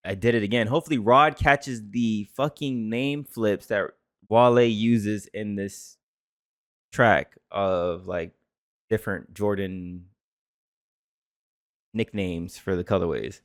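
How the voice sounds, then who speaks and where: A man's voice: fairly low pitch, takes it slowly, pauses often, no room sound, clean studio sound. A man co-hosting a music reaction show, chatting loosely and joking with some swearing, tired after a long day. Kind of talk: casual reaction chat.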